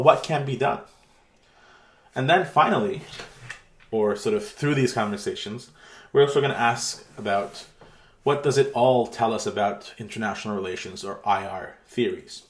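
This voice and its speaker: A middle-aged man from Turkey giving a pre-recorded online university lecture in a relaxed, chatty way with many fillers. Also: mid-range voice. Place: home room. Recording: echoey room.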